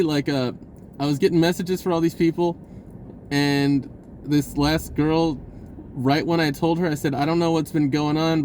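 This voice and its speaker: A man telling a personal story in a casual, rambling vlog style, stammering, blurting and re-enacting conversations excitably. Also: a little high, slight background noise. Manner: blurting, self-interrupting, stammering over phrases.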